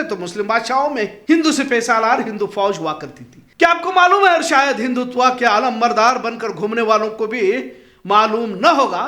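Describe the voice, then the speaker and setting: A man's voice: very high-pitched, bright, forceful tone. A man presenting an opinionated news commentary monologue in an emphatic, earnest and rhetorical way. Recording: echoey, roomy voice recording.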